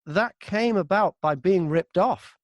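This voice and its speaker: A man's voice: high voice, unhurried, dry recording, studio quality. A man who works as an audiobook narrator, being interviewed and telling work anecdotes casually, acting out remembered exchanges as he goes.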